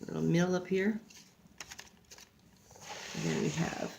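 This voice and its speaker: Creaky-voiced older woman reading a storybook. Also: on the low side, steady tone, a little mellow, a little hiss or hum. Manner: halting, stumbles over words and corrects herself. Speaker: grandmother reading a storybook aloud. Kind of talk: read-aloud picture book with casual asides.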